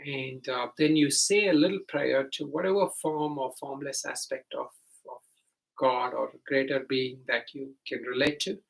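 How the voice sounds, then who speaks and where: A man with a medium-pitched voice leads a guided meditation. He explains each step simply and gently, pausing and hesitating as he goes, in the manner of a teacher instructing students. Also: steady tone, gravelly, poor audio.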